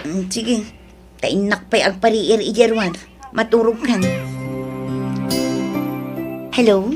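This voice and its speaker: An actress playing an exasperated, complaining wife of a farmer in an audio drama. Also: female voice, mid-range voice, measured pace, over music, natural voice. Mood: subdued. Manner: nagging, complaining. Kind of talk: radio drama acting.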